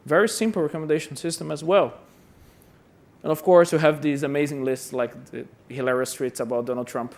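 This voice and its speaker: Man from Brazil, conference speaker, chatty and self-deprecating, slightly high voice. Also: steady tone, fairly quick. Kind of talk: conference talk. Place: conference hall.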